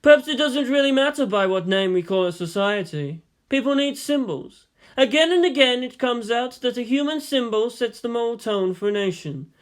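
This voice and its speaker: A man voicing a weary, thoughtful statesman and historian who lectures in a measured, philosophical way in a story reading. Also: very high voice, animated, voice pushed up. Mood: reflective.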